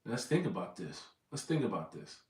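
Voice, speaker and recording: A man's voice: low voice, sing-song, moderate pace, a little mellow, reverberant, distant mic. An American man from Southern California in his thirties, rambling casually to his viewers and correcting himself as he goes. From Southern California, USA. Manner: meandering, corrects himself often. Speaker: vlogger.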